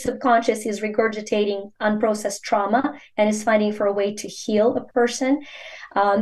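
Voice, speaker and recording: A woman's voice: mid-range voice, darkish tone. A woman from Ukraine, a native Ukrainian speaker, talking earnestly and emphatically as a guest in a podcast interview, with a coaching tone.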